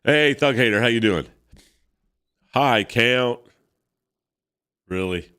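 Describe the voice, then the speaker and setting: A man's voice: mid-range voice, takes it slowly, pushed and bright, smooth. A stuffed-up man in his fifties hosting a casual comic book reading, rambling with many fillers. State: congested. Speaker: host.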